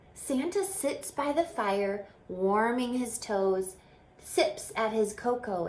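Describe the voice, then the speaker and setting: A woman reading a children's story aloud in an expressive, explaining manner, pausing to put questions to young listeners. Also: mid-range voice. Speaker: story reader and teacher. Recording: roomy, echoing room sound.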